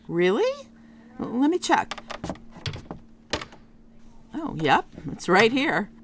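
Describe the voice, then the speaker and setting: A woman's voice: a little high, huge pitch swings, slow, background noise, heavily acted. A woman playing a cordial, direct police chief in a contemporary radio drama. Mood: friendly, probing.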